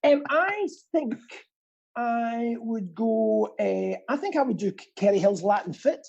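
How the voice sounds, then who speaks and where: A middle-aged British man hosts a live quiz in a husky voice. The tone is mellow, and he banters casually, repeating phrases theatrically for comic emphasis. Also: extremely high pitch, expressive, measured pace, lo-fi sound. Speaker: quiz co-host and pantomime dame performer.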